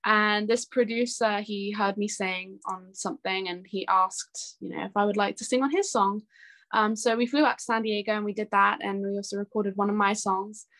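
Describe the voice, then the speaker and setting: A woman's voice: mid-range voice, fairly even intonation, normal speed, a bit bright. A young woman chatting casually and enthusiastically as a musician being interviewed. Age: young adult.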